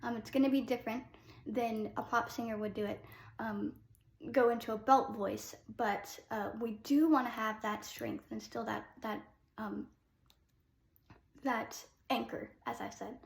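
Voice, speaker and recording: A young woman who sings soprano, teaching a casual singing lesson. She explains with short sung demos and fills pauses with "um.". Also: fairly high pitch, fairly even intonation, creaky, echoey room. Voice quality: soprano speaking voice.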